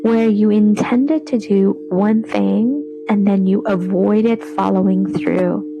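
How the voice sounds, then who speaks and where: Female yoga nidra guide; soothing and unhurried, with noticeable vocal fry, over clear background music. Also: medium pitch, fairly even intonation, muffled recording. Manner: soothing, unhurried, breathy trailing phrase endings. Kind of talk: guided relaxation meditation.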